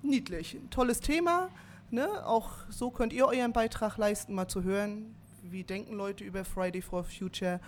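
A woman's voice: mid-range voice, normal speed. A woman answering an interview in casual, chatty everyday phrasing. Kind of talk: casual spoken opinion. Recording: noisy location interview.

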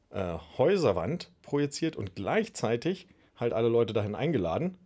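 A man's voice: mid-range voice, warm tone. A man hosting a radio show casually, chatty and a little hesitant in his phrasing. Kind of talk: casual magazine show presenting.

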